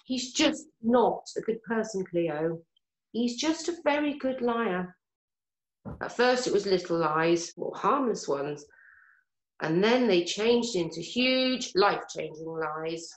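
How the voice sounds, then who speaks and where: A woman's voice: mid-range voice, animated, slow. A woman acting a regretful, worried mother in her fifties in a dramatic scene, blunt and emotional. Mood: regretful, bitter. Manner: emotional, hesitant, blunt swearing. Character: worried, regretful, sweary mother of fifty-five. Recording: roomy echo.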